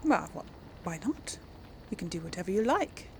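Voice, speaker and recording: A woman's voice: mid-range voice, big pitch swings, normal speed, a little mellow, a little hiss or hum. A woman casually commenting on and role-playing through a video game, musing in a hesitant way. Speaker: gaming video host.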